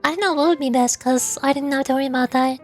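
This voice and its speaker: High-pitched playful woman livestreamer. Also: fast talker, darkish tone, faint music behind. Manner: playful, mock-indignant banter. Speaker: VTuber livestreamer. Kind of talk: casual livestream chat with viewers.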